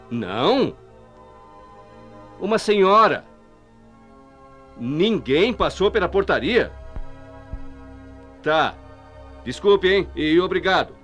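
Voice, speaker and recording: An animated, earnest Brazilian hospital worker. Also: male voice, high voice, exaggerated voice. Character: Ângelo, earnest, puzzled male hospital night-shift worker. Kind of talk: 1990s Brazilian radio mystery drama acting. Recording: radio play with music behind.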